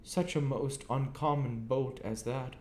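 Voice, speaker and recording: A man's voice: mid-range voice, fairly even intonation, unhurried, a little mellow, echoey room, slight background noise, pitched above the performer's own voice, close to an everyday voice. A man voicing a kindly, anxious working man from a storybook, earnest and plain-spoken with rustic grammar. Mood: solemn confiding. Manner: earnest, muttering, rustic ungrammatical speech.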